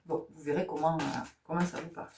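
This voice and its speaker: A woman's voice: deep voice, steady tone, fast talker, gravelly, distant mic, noisy background. A woman giving a spiritual tarot guidance talk, asking rhetorical questions, repeating phrases and often checking in with her listeners. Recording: echoey room.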